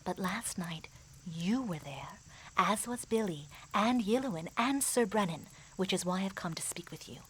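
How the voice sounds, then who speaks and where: A woman playing a grave mystic advisor in a fantasy audio drama, speaking formally, in an earnest and measured way. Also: medium pitch, animated, fairly bright tone, slight background noise, rough recording. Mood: hushed, pensive.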